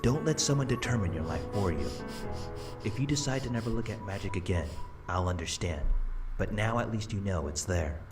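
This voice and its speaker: A man playing the kindly, proud spirit of a grandfather in a visual novel, gentle and earnest. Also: on the low side, lively intonation, fairly quick, over loud music, natural voice. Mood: proud, tender. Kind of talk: visual novel character dialogue.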